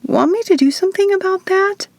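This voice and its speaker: Lady putting on an excitable cheeky witch girl, way up high, fussy and bossy, dry audiobook sound. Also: brisk, no echo. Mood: teasing whisper. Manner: fretful, bossy, teasing. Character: excitable, cheeky, fussing young witch woman. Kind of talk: audiobook character voice.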